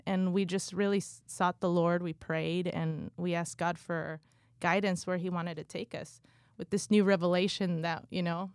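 A woman in her thirties from Guatemala, raised in California, talking as a guest in a casual interview, soft and hesitant with many pauses. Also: fairly low pitch, no echo, clean studio sound.

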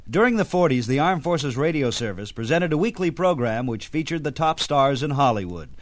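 An older man hosting, narrating a scripted introduction in a steady, reminiscing way. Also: fairly high pitch, a little fast, dry recording. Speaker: host.

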